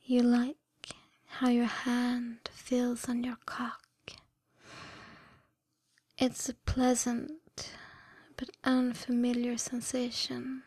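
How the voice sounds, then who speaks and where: Very slow whispering woman, breathy and close. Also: a little high, dry recording. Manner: hushed, deliberate, soothing, commanding. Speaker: erotic hypnosis audio performer. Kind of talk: scripted erotic hypnosis ASMR.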